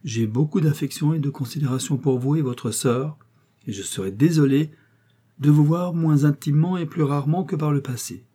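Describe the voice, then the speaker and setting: A man doing a sulky spoiled young heiress, curt and dismissive, raised pitch, husky tone, storybook. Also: moderate pace, warm tone. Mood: haughty, curt. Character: peevish young female heiress, haughty and sulky.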